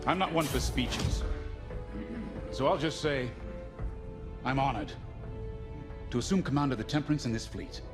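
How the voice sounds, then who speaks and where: An actor playing a brisk, no-nonsense male fleet commander addressing his squadron in a video game. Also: medium pitch, music in the background, natural voice. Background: orchestral score.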